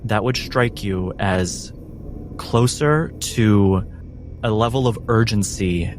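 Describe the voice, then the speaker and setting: A man acting as game master, narrating a tabletop roleplaying game dramatically in deliberate, measured phrases. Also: on the low side, soft background music.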